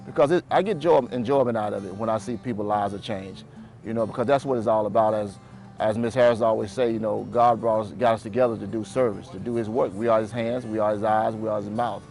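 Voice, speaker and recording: A man's voice: on the low side, steady tone, quick pace, creaky, a little mellow, soft background music. A man speaking about his faith and his work in a heartfelt testimonial, often saying "you know". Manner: heartfelt, repeats himself, lots of "you know". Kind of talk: testimonial interview.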